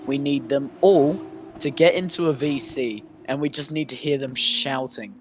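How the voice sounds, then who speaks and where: A man's voice: mid-range voice, moderate pace, slight background noise. A man co-commentating a sim race, playful and dramatic, often stammering as he starts a sentence.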